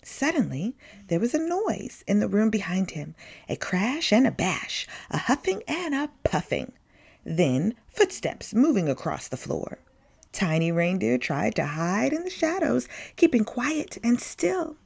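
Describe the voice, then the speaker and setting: A woman's voice: medium pitch, sing-song, measured pace, creaky, a little mellow, husky. A woman reading a children's picture book aloud expressively, with dramatic emphasis on key words. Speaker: story time reader.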